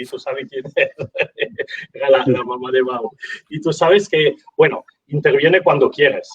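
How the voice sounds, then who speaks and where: Host: Italian man, Italian accent, husky voice, muffled lo-fi line, halting. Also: medium pitch. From Italy. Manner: halting, many restarts and fillers. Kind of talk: casual interview.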